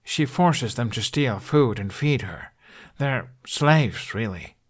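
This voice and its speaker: A man voicing a defiant, sarcastic young Viking dragon rider in an audiobook, sharp and contemptuous. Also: medium pitch, animated, slow, pitched above the performer's own voice. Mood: earnest.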